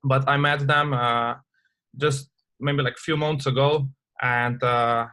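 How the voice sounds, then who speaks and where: A man from Slovenia hosts a conference call and introduces his guests. His voice is middle-pitched and flat, and he speaks in halting, hesitant English with many pauses and fillers. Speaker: call host.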